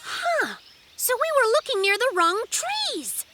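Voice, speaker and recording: High-pitched, excitable little boy bear cub. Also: takes it slowly, noisy background, full character voice. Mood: puzzled. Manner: boastful, exclamatory, theatrical pirate talk. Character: bossy, excitable young male polar bear cub playing pirate captain. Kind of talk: children's cartoon acting.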